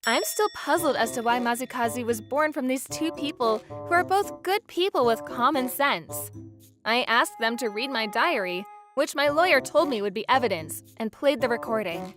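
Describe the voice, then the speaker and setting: A woman playing a weary, resolute young wife, narrating her divorce in first person for a manga dub. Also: sits high. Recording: music bed under the voice.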